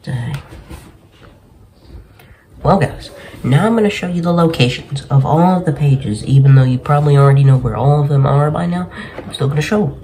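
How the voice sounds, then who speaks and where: A man's voice: on the high side, normal speed, pauses often, dark tone, slight background noise, rough recording. A young man giving casual game walkthrough commentary as the host of a gaming video. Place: small bedroom, indoors. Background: game music.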